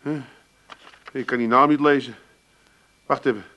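An actor playing a flustered, plaintive grown son with an Amsterdam accent in a radio comedy. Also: male voice, medium pitch, steady tone, measured pace, bright, forceful tone, poor audio. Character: hapless, whiny grown son, easily upset.

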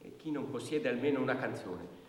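A man acts out a plodding, pedantic police brigadier who handles a statement like pure paperwork. The delivery is theatrical and officious, with a mid-pitched voice. It rings through a long, distant hall reverb over clear noise. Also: expressive, close to an everyday voice. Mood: chatty, singing. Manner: officious, pedantic, literal-minded, slow dictation voice when recording statements. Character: plodding bureaucratic male police brigadier taking a theft report. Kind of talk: theatrical stage acting. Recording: very echoey hall from far off, noisy.

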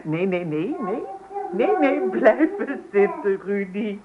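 Radio play grandmother: older, fussy, reproachful, doting, very animated, on a thin old recording. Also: mid-range voice, takes it slowly. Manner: reproachful, fussing, clucking with interjections. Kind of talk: radio drama acting. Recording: tinny, narrow-band, noisy old radio recording.

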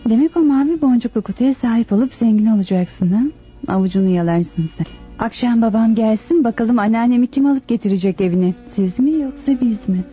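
An actress playing a sly, insistent young daughter in a radio play, on a muffled, dry recording. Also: female voice, a little high, fairly quick, slightly rough, soft background music. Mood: gloating. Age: young adult. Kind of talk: radio theatre acting.

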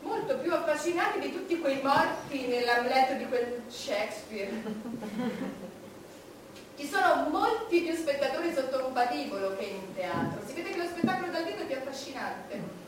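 Haughty scornful english queen, high voice, big theatrical stage monologue, really echoey and noisy recording. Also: animated, normal speed, far from the mic. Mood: mocking. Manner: theatrical, commanding, swinging from hushed menace to loud outbursts. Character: imperious, scornful, vulgar-tongued Queen of England.

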